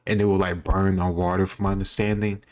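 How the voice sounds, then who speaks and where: A man's voice: low voice, level tone, quick pace, darkish tone. A man giving casual commentary on a history quiz, thinking aloud in an informal, rambling way. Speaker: host reacting to a history quiz video.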